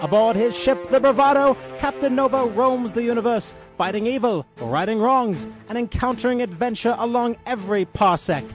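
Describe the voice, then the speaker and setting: A man playing an excitable, theatrical announcer narrating a 1950s-style radio adventure serial for children. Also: very high voice, normal speed, few pauses, faint music behind. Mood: rousing. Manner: theatrical, dramatic.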